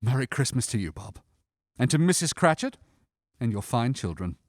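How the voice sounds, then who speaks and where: A man playing a cheerful, warm-hearted young gentleman in a radio drama, earnest and theatrical. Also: mid-range voice, sing-song, moderate pace, no echo, clean studio sound, natural voice. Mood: pleading, good-natured. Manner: earnest, theatrical, good-natured.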